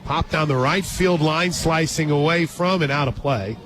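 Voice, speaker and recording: Male sports radio play-by-play voice, fairly high, clipped calls and casual asides, over loud crowd noise. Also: moderate pace. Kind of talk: live softball radio commentary. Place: radio booth at an outdoor softball stadium. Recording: loud crowd and music behind. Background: loud ballpark crowd and music.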